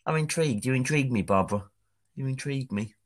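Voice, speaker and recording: A man's voice: mid-range voice, expressive, fast. A man, co-hosting a podcast in casual chat, stammering into restarts and laughing often. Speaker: podcast co-host.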